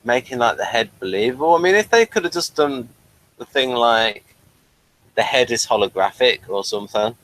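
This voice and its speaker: A man hosting a TV review show in casual, unscripted chat, haltingly rephrasing as he goes. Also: medium pitch, expressive, a bit bright, no echo, poor audio.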